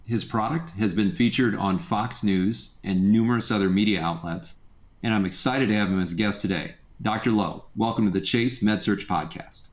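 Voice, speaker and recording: A man's voice: fairly low pitch, normal speed. A man hosting an interview podcast, moving between scripted introduction and questions to a guest. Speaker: podcast host.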